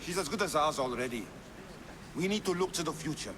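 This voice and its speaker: A man's voice: a little high, animated, fairly quick, close to an everyday voice. An actor playing an impatient, scheming male cultist who argues in a declamatory way in a video game cutscene. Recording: long hall reverb, noisy ambience.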